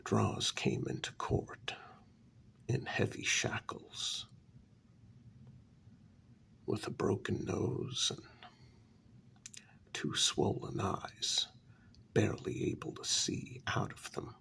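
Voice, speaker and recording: A man playing a grave, gravelly half-whispering asylum staffer. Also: fairly low pitch, a bit bright, heavily acted. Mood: grave, hushed. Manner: slow, halting, deliberate short phrases with long pauses. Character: grave, troubled male asylum staffer recounting a dark case. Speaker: storyteller in an ASMR role-play. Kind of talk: soft-spoken ASMR role-play storytelling.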